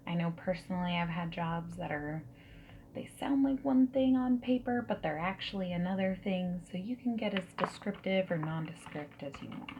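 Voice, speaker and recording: A woman doing a friendly, reassuring ASMR roleplay as a dating service consultant, soft-spoken and often whispering. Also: on the low side, moderate pace, muffled recording, background noise. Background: mechanical keyboard typing and clicks.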